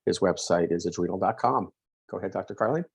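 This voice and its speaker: A middle-aged man hosting a webinar, talking quickly with many fillers and self-corrections. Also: deep voice, vocal fry, slightly rough, dry recording. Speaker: host, endocrinologist. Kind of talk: webinar presentation. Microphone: video call.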